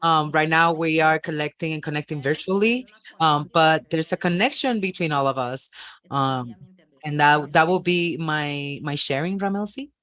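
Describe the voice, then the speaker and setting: Live interpreter, halting and careful, lots of ums, average pitch. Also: a bit bright, no echo. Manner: halting, with frequent ums and restarts.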